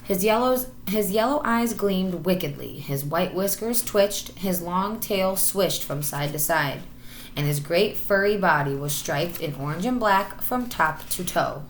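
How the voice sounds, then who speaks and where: A woman teacher reading a children's story aloud with expressive narration. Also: on the low side, measured pace, reverberant, slight background noise, low-quality recording.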